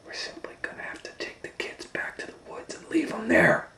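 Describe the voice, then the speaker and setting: A man's voice: moderate pace, in a whisper, natural voice. A man voicing a scheming, bossy woodcutter's wife in a children's fairy tale, with false sweetness. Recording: roomy echo, faint background noise.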